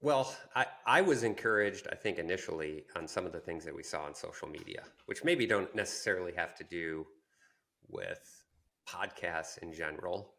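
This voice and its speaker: A man co-hosting a podcast, talking casually and reflectively, pausing to search for his words. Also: low-pitched, a bit bright. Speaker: podcast co-host.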